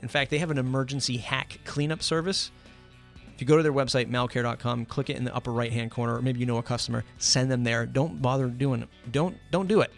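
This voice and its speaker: A man's voice: mid-range voice, creaky. A man hosting a podcast in a casual, ad-libbed way, chatty and informal, repeating phrases for emphasis. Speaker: podcast host. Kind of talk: casual ad-libbed sponsor reads and interview.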